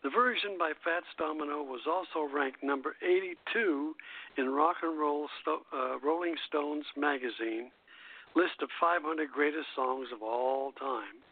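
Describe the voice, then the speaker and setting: A man presents a radio show through a thin, phone-like connection with a dry, close sound. His voice sits slightly high as he reads prepared music notes, halting and stumbling now and then over the script. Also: no echo. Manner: halting delivery, stumbling over read text. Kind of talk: reading prepared music history notes on air. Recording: tinny, narrow phone-line radio sound.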